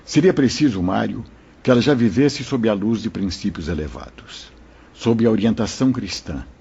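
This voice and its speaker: An actor playing a wise, paternal spirit mentor who calmly teaches his pupils in a very husky voice. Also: fairly low pitch, moderate pace, dull audio, heavily acted. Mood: didactic. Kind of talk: audio drama acting.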